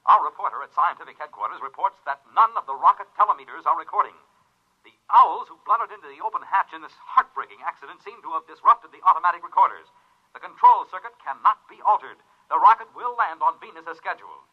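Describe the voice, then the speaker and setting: A man's voice: a little high, expressive, quick pace, slightly rough, narrow, tinny audio. An actor playing a male television reporter announcing a rocket launch in a 1950s radio drama, on a thin vintage recording. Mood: urgent, formal. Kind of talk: 1950s radio drama acting, newscast delivery.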